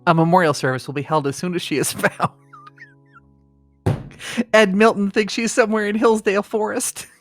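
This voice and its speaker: A man's voice: sits high, fairly even intonation, moderate pace, fairly bright tone, soft background music. A middle-aged man co-hosting a comedy podcast, moving between mock-solemn reading and casual chat. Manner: breaks into laughter while trying to read gravely.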